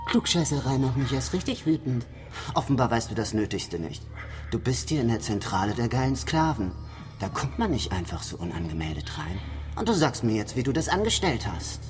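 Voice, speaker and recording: A tough underworld henchman acted by a man with a medium-pitched, highly animated voice. He is crude, mocking and menacing in theatrical radio play fashion, with music clearly heard behind. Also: brisk, natural voice. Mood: menacing anger. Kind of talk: radio drama acting.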